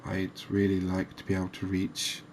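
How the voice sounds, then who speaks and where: A man giving relaxed, unhurried, musing ASMR-style gameplay commentary, chatting to his viewers. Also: low-pitched, a little hiss or hum. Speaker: host of a cozy fishing game channel.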